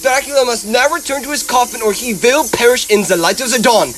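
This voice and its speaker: Radio drama vampire hunter, male, acted: commanding professor, strikingly high voice, urgent declamatory orders. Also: brisk, a bit bright, slight background noise.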